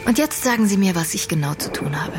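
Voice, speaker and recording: An actress playing a sharp-minded, idealistic young woman in a radio crime drama, deliberate, analytical and decisive. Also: mid-range voice, expressive, lightly acted. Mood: resolute. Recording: clear music behind the voice.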